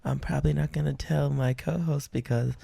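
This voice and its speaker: A man co-hosting a podcast who teases his partner with deadpan interjections. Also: a little high, fairly quick, vocal fry, husky. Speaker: podcast co-host. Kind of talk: casual comedic chat.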